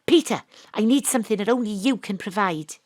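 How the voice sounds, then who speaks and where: A woman narrating and playing a bold private investigator, often hushed and conspiratorial. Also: medium pitch, animated, fairly quick, no room sound. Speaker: narrator and lead. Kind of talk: first-person drama narration. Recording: dry home studio.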